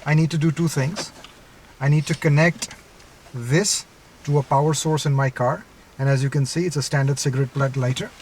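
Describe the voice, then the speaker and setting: A man's voice: fairly high pitch, fairly even intonation, moderate pace, a little mellow. A man giving a casual product demonstration inside a car, explaining things step by step. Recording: clear car-interior background noise. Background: vehicle ambience.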